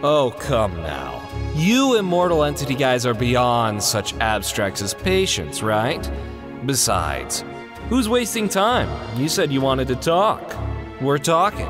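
A man's voice: mid-range voice, big pitch swings, takes it slowly, music in the background. A man playing a fearless, sarcastic ghost-hunting scientist in a comic dub, glib and casual with an American accent. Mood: mocking, defiant. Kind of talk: comic dub character acting.

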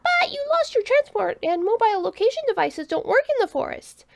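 A small, pert winged alien from a storybook, acted out by a woman. The voice is pitched very high and swoops around. It pipes up insistently, nitpicking and curious. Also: sing-song, a little fast, creaky, full character voice. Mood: puzzled, questioning. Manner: piping, insistent, questioning.

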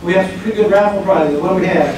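A high-voiced man runs through event announcements in a casual way, stopping and restarting often. He is recorded from far back in a big, very echoey conference room. A clear noise floor sits under his voice. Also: quick pace. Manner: casual, many fillers and restarts. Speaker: event organizer. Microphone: far camera at back of room.

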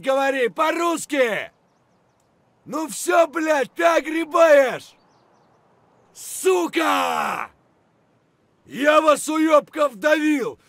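An actor playing an aggressive, cursing Russian soldier in a military shooter game, with a rough, husky voice. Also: male voice, extremely high pitch, a bit bright, no echo, heavily acted. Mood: furious, taunting. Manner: barking, cursing. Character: aggressive, foul-mouthed Russian male soldier in combat.

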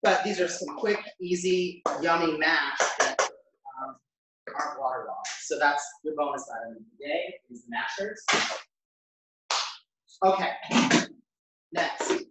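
A man's voice: a little high, slow, long pauses, very noisy. An American man in his fifties giving a casual, chatty live cooking demonstration, thinking aloud as he works. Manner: chatty, informal, thinking aloud while working. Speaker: chef hosting a cooking demonstration. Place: home kitchen, indoors. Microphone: camera a few metres away. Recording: very echoey kitchen, distant microphone.

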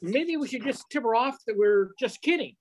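A man's voice: very high-pitched, expressive, normal speed, very noisy. An older man playing a slangy, exclamatory wisecracking fellow in an amateur old-time radio play. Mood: amused, easygoing. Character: exasperated wisecracking working man caught in hoax. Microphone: computer on video call.

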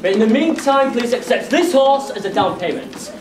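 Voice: medium pitch, animated, normal speed, lightly acted. A performer playing a bossy, exasperated army sergeant in a pantomime, barking at the troops on a theatre stage. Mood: brisk. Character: bossy army sergeant drilling hapless soldiers. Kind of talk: broad pantomime stage acting. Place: theatre stage before an audience. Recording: echoey theatre, music and audience noise.

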